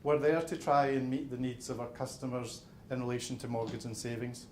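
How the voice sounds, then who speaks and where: A man's voice: medium pitch, level tone, brisk, darkish tone, reverberant, a little hiss or hum. A man with a Glasgow accent, a building society manager explaining things plainly to members at an event, often restarting his sentences. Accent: Glaswegian. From Scotland. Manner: plain-spoken, explains step by step with repeated openers. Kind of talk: presentation and audience Q&A. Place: event venue with an audience.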